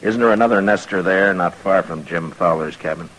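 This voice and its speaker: A deep, weighty American marshal of the old frontier. He is steady and weary, measured and sparing with words. The vintage radio drama recording carries some noise. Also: low-quality recording. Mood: businesslike. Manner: measured, hesitant pauses, laconic. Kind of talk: old-time radio western acting.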